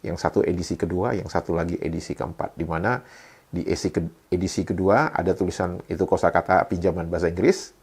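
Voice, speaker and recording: A man's voice: sits low, fast talker, darkish tone, husky. A man with an Indonesian accent giving casual explanatory commentary, talking straight to viewers and posing rhetorical questions. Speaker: video host and commentator.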